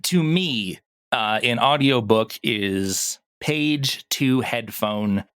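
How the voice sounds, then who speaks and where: A man's voice: mid-range voice, expressive, drawn-out words, a bit bright, dry recording, studio quality. A man speaking casually as an interview guest, explaining his creative work and thinking aloud as he goes. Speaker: interview guest, audio drama producer.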